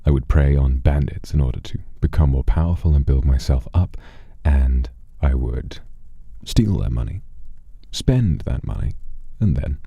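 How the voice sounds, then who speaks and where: A man with an extremely low, velvety dark and husky voice plays the storytelling streamer. He speaks deliberately and theatrically, leaving dramatic pauses between phrases as he spins his tale for listeners. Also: animated, no room sound, lo-fi sound. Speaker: streamer telling a story in persona as a demon. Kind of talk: slow atmospheric first-person storytelling to a livestream audience.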